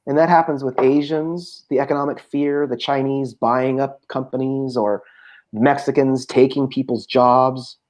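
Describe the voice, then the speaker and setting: A man, a poet being interviewed, speaking thoughtfully over a video call with frequent pauses and filler sounds. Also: medium pitch, fairly even intonation, darkish tone. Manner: thoughtful, hesitant with many pauses. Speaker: poet, guest. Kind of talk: casual conversation. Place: home room.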